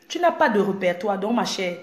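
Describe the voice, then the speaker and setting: A woman's voice: medium pitch, sing-song, moderate pace, reverberant. A woman from Cameroon with a Cameroonian French accent, a blogger talking straight to camera in a boastful, confrontational, mocking way. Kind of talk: direct-to-camera rant.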